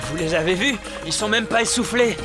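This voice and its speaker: A curious, matter-of-fact companion from a cartoon dub, played by a man. His voice runs high, with clear music behind it. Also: a little fast, natural voice. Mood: curious, dry. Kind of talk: French animated series dubbing.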